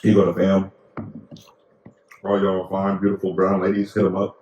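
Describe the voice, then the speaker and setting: A man's voice: low voice, completely flat delivery, normal speed, darkish tone, echoey room, slight background noise. A young man co-hosting a podcast in casual, rambling chat full of slang and fillers. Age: young adult. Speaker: podcast co-host.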